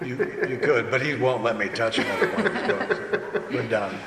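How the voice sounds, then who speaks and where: A man's voice: mid-range voice, big pitch swings, moderate pace, over a noisy crowd. A man speaking as a director on a casual convention panel, rambling along with trailing asides. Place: convention panel room, indoors. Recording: echoey hall, noisy room ambience.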